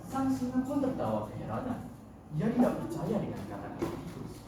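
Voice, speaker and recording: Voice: medium pitch, huge pitch swings, fairly quick. A contest storyteller narrating a tale in a theatrical, declamatory way. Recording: echoey hall, distant mic, noisy.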